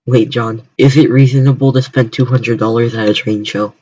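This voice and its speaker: Young American male streamer, casual and halting delivery, fairly flat pitch, slightly lo-fi sound. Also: husky, dry recording. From United States. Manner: halting, repetitive starts. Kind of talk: casual chat on a livestream.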